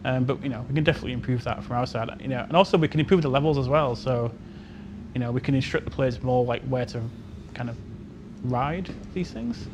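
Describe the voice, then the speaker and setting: A man's voice: medium pitch, fast talker, noisy background. A man speaking as a game developer on a panel, giving casual technical explanations with many hedges. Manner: hedging, frequent "you know".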